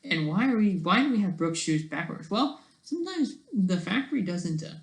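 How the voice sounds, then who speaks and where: A person thinking aloud in a casual, digressive commentary over study notes, halting often and talking in an informal, self-deprecating way. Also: mid-range voice, very animated. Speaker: solo online commentator.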